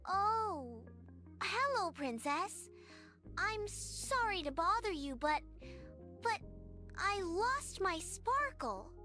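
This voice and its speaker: A woman's voice: very high-pitched, big pitch swings, drawn-out words, pauses often, pushed and bright, faint music behind, pitched above the performer's own voice, heavily acted. A woman voicing a tiny, timid, sad little fairy girl in a bedtime story, shy and plaintive. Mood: tearful, apologetic. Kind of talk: children's bedtime storybook dialogue.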